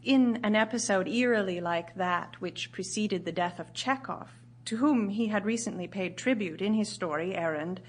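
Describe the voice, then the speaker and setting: An actress playing a grieving widowed writer, narrating in a measured, solemn, literary way for audio theatre. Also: female voice, fairly low pitch. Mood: grave. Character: grieving widowed woman writer recounting her husband's last year.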